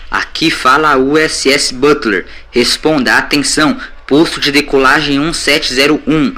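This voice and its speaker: A man voicing an officious, blustering ship's chief in an audio story, formal and authoritative. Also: mid-range voice. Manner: authoritative, formal, forceful announcements. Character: officious male ship chief, blustering, anxious to keep order. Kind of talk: audio story character acting.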